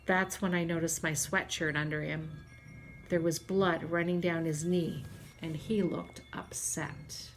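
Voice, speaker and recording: A woman reading a children's storybook aloud like a teacher, in an expressive, deliberate storytelling manner. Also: fairly low pitch, soft background music.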